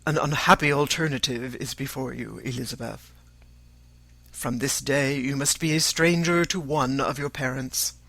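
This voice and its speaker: A narrator voicing an unruffled, sardonic older father in an audiobook, formal, measured and deadpan. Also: mid-range voice. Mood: dryly amused.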